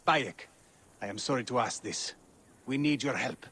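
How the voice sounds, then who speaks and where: An actor playing a grieving, weary Egyptian husband and official in a dramatic game cutscene. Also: male voice, medium pitch, animated, moderate pace, a bit bright, breathy edge. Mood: weary, grave.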